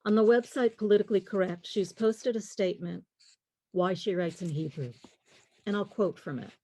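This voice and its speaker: A middle-aged woman lecturing on literature, reading in a measured, deliberate way with pauses. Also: medium pitch, mellow. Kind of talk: prepared lecture, reading quotes and poems aloud.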